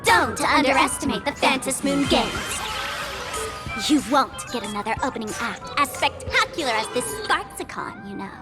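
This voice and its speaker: A woman's voice: high voice, very animated, moderate pace, over loud music. A woman voicing a playful, mischievous young woman trickster in a video game, with a theatrical, teasing, showy delivery.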